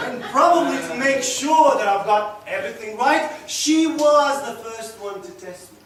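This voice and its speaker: A man acting a passionate, boastful, unrepentant young womanizer in a theatrical stage monologue, swinging between hushed murmurs and loud declarations. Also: very high voice, very animated, takes it slowly, exaggerated voice. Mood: boastful, nostalgic. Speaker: actor. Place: echoey indoor room, recorded from a distance. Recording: echoey room, distant mic, faint noise.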